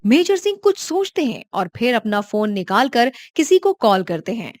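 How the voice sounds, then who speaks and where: A woman narrating a cartoon story in a calm, even storytelling voice. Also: a little high, moderate pace, no room sound, clean studio sound.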